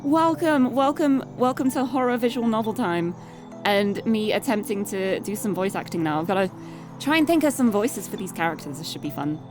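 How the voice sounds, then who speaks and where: A woman's voice: medium pitch, moderate pace. A woman from the UK with a British accent, live streaming a game, chatting and reading aloud. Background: music.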